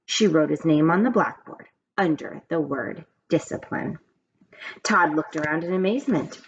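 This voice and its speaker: A woman reading a children's story aloud in an expressive storytelling style. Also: low-pitched, moderate pace. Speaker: story reader. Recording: dull, muffled, background noise.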